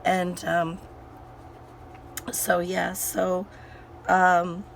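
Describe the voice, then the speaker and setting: A woman hosting a crafting channel and chatting casually while she works. Her voice is hoarse and still recovering, and she rambles with many fillers and restarts. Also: fairly low pitch, monotone, very slow, slight background noise, low-quality recording. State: hoarse, voice recovering from sinus infection.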